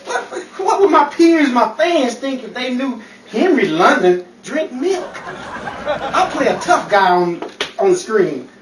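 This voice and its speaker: A woman acts out a vain young male screen tough guy in a radio comedy. The delivery is indignant and very lively in pitch. The recording is roomy, with clear noise behind. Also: slow, heavily acted. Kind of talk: old-time radio comedy acting. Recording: echoey, distant, noisy with chatter.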